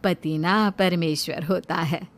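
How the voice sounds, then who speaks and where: Woman voicing a gentle older mother-in-law. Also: lowish voice, fairly even intonation, slow pace, pitched below the performer's own voice. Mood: admonishing. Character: submissive, soothing older village mother-in-law. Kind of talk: Hindi cartoon story dialogue.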